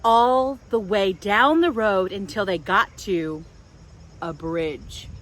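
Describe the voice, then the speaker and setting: A woman hosting a children's storytime outdoors, telling things in simple, deliberate phrases for small children. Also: medium pitch, fairly bright tone. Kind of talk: storytelling and guided talk for young children. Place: outdoors in a public park. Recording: outdoor park with faint background noise.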